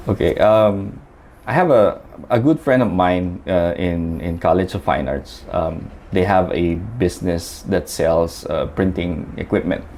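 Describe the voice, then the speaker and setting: A man from the Philippines speaks in a very low voice, telling his story as an interview guest. He talks chattily, with many fillers and restarts. Faint noise sits behind him. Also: a little slow, a little mellow.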